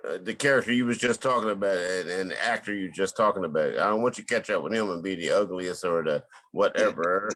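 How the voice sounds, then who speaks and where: Halting, lower-voiced man. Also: fairly bright tone, rough recording. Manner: halting, deliberate, many pauses and ums. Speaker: stage play cast member, artist. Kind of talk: informal panel interview.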